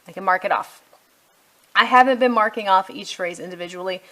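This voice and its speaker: A woman's voice: mid-range voice, fairly quick, sharp tone, low-quality recording. A middle-aged woman giving a casual product walkthrough as a reviewer, conversational and thinking aloud as she goes. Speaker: homeschool curriculum reviewer.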